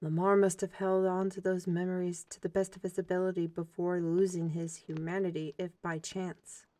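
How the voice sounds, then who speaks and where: A woman's voice: fairly low pitch, flat delivery, moderate pace, dark tone, natural voice. A woman playing a self-assured steward in a fantasy audio drama, explaining things in an earnest, articulate way.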